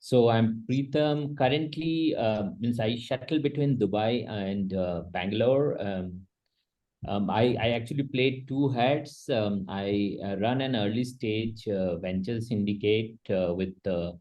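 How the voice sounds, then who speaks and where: A man's voice: lowish voice, a little slow, dark tone. A man, an investor and protocol lead, introducing himself on a video call.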